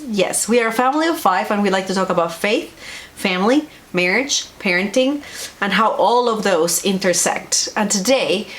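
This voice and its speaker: A woman's voice: mid-range voice, lively intonation, moderate pace, a bit bright. A woman from Colombia, a native Spanish speaker, telling personal stories conversationally and earnestly, repeating phrases for emphasis. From Bogota, Colombia. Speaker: vlog co-host, pastor.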